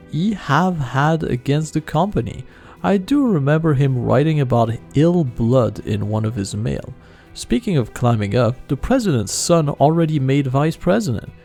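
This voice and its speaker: Gravelly, animated man doing game commentary. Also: medium pitch. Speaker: video game streamer. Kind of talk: casual game commentary and reading aloud. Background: game music.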